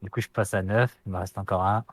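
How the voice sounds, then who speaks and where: A man's voice: fairly low pitch, fairly even intonation, fast talker, slightly rough, no echo. A man playing a tabletop game, talking casually and stumbling over repeated words. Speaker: player.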